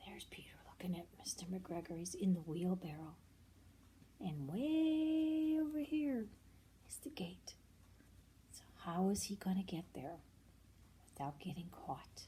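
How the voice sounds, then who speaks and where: An older woman reading a children's picture book aloud, expressive and often hushed, pausing for asides about the pictures. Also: on the low side, a little hiss or hum.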